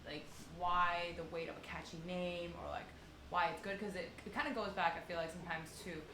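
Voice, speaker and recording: A woman's voice: on the low side, fairly quick. Chatty woman telling a work story. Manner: lively, chatty, acts out exchanges. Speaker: marketing consultant in the audience. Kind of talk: casual storytelling. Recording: echoey room with background noise.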